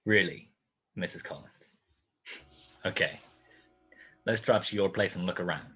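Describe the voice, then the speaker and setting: A man plays a hard-boiled private eye from an old 1940s radio mystery. His voice is very low, and he is dry, probing and world-weary, keeping his words short and clipped over music. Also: a little slow. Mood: wry, skeptical. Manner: laconic, clipped questioning. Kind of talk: 1940s radio detective drama acting.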